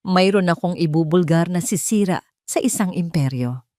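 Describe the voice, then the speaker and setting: A woman's voice: lowish voice, normal speed, darkish tone, no room sound, studio quality, natural voice. A woman playing a firm, rousing woman boss. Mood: resolute. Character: determined, brave woman boss rallying her fearful workers.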